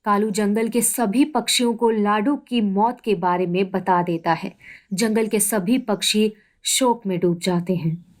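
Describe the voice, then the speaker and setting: Woman narrator, medium pitch, storytelling. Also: unhurried. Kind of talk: scripted children's story narration.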